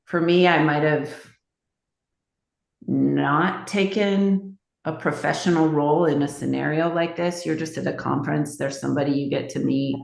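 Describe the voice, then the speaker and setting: Deep, gravelly woman in an echoey room. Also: fairly even intonation, normal speed, darkish tone. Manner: exploratory, thinking aloud. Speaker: peer group member without pastoral background. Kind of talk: group discussion.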